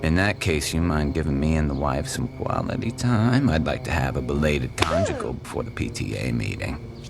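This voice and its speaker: Extremely deep, gravelly husband. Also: male voice, lively intonation, normal speed, music in the background, full character voice. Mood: relaxed affectionate. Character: unhurried, deadpan, doting husband with very deep voice. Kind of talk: video game acting.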